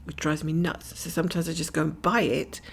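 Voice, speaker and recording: A middle-aged woman from Scotland chatting casually while she cross-stitches at home, rambling from topic to topic and often dropping to a whisper, her voice croaky and her nose blocked. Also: deep voice, level tone, brisk, slight background noise. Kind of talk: casual stitch-along chat. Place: at home, camera over her stitching hoop.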